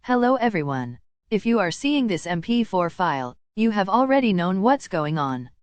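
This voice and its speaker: Woman as school announcer, lively intonation, dry sound. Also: mid-range voice, moderate pace, clear, resonant tone, no echo, muffled recording, natural voice. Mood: serious. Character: school official recording a grave closure announcement. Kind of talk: scripted announcement.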